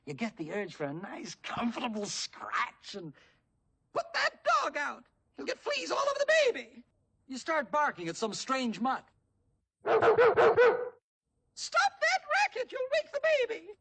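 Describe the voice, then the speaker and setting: An actor voicing a debonair, smooth-talking male stray dog in a 1950s animated film, with playful salesman patter. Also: very high-pitched, a little slow, pauses often, full character voice. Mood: teasing. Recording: film clip with music behind.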